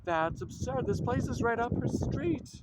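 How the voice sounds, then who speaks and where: A man's voice: extremely high pitch, expressive, moderate pace, heavy background noise. An actor playing a blunt, coarse man who keeps complaining to his friend. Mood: grumbling.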